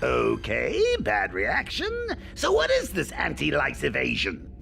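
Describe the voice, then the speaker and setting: A man playing a manic, mischievous clown supervillain in an animated video game, theatrical and mocking. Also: medium pitch, measured pace, gravelly, exaggerated voice. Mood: sheepish. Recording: loud music and effects behind.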